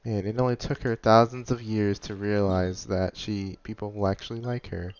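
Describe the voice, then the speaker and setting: A man's voice: fairly low pitch, steady tone, moderate pace, dry recording, dull audio. A man giving laid-back, rambling casual commentary over a video game. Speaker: gaming commentator.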